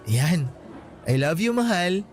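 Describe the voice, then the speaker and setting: A man's voice: high-pitched, very animated, slow, soft background music, exaggerated voice. An actor playing a young male student boyfriend in a radio drama, playful and teasing but frank when he turns serious.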